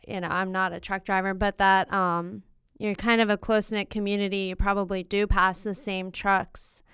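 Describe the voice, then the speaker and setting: A woman with a strikingly clean, smooth voice hosts a casual interview podcast. She speaks informally and chattily at a middle pitch. The sound is dry and close, with very little room in it. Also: level tone, moderate pace, a bit bright, dry recording. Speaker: podcast host interviewing relatives.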